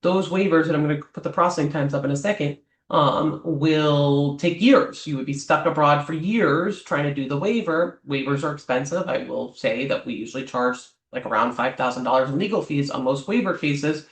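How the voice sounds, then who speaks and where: A man speaking as an immigration lawyer, giving advice to clients off the cuff and often checking in with a quick "right?". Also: a little high. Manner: talks off the cuff, ends many points with a questioning "right?"; frequent "um" fillers and restarts. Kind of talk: explanatory advice talk to clients. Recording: roomy echo.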